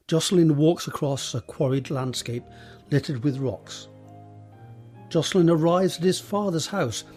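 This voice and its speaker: Husky male narrator over soft music. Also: on the high side. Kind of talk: scripted narration of screenplay directions.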